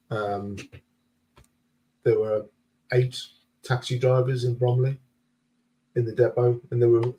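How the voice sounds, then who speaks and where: A man's voice: mid-range voice, a little mellow, dull audio. A man hosting a casual live-stream chat, joking around and reading out viewer comments. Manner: jokey, banters and reads comments aloud.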